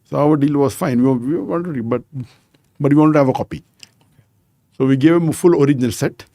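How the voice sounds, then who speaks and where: Mellow-voiced man in his sixties recounting stories. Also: mid-range voice, no room sound, clean studio sound. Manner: measured anecdotal telling, frequent "you know". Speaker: podcast guest. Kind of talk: conversational storytelling interview.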